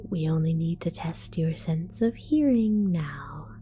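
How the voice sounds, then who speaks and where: A woman voicing a scatterbrained female mad scientist in an ASMR roleplay, whispering softly, giggling and stammering as she falsely reassures. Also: low voice, lively intonation, measured pace. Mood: sly whisper. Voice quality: soft whisper.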